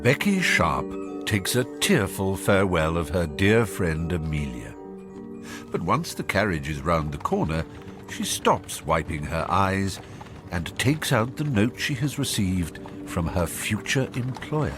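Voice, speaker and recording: An actor playing a wry, knowing narrator of a radio period drama, husky, deliberate and suspenseful in delivery. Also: male voice, deep voice, very animated, over music, full character voice.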